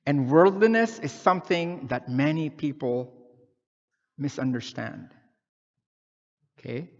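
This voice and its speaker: A man's voice: fairly high pitch, animated, slow, in a large hall, dull audio. A man from the Philippines preaching a sermon in a conversational way, often checking in with the congregation by asking "okay?" or "right?". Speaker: pastor.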